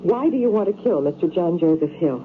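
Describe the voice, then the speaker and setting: A woman's voice: fairly low pitch, expressive, fairly quick, natural voice. An actress playing an insistent, accusing woman in an urgent, demanding radio drama scene. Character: insistent, accusing woman interrogating a man at gunpoint. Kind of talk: 1970s radio drama acting. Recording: noisy, tinny vintage radio broadcast.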